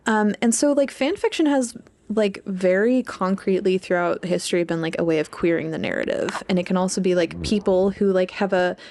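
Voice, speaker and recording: A woman's voice: medium pitch, a little fast, darkish tone, slight background noise. A young adult woman co-hosting a podcast in casual chat, rambling and peppering her talk with "like". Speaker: podcast co-host, English PhD student.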